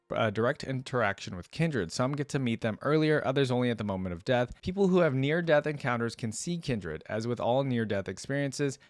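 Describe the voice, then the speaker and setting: A man with a mid-pitched voice hosts a casual reaction video, recorded dry and close. He reads material aloud and breaks off to comment, thinking out loud in a conversational way. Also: no room sound.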